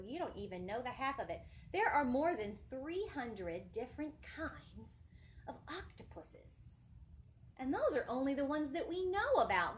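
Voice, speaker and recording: Female kids' storytime host, bright-eyed teacherly delivery with big melodic swings, slight room noise. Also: fairly high pitch. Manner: animated, singsong, asking questions of young listeners. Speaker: children's librarian storytime presenter. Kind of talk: children's storytime teaching, addressing young viewers. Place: indoor library children's area, seated.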